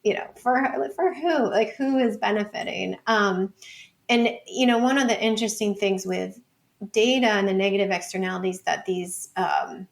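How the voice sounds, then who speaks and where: A woman guest in a podcast conversation, with noticeable creak in an average-pitched voice. She explains her field informally, padding her points with "sort of", "like" and "you know". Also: normal speed. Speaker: interview guest, data researcher and book author. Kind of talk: conversational podcast interview answers.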